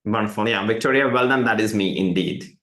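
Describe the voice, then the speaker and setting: A man's voice: on the low side, fairly quick, echoey room. A middle-aged man from India, whose first language is Hindi, telling his company's story as a webinar guest, acting out the voices of the people he quotes. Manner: animated storytelling, quotes others in lively voices. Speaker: tech company CEO, guest. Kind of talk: live webinar interview.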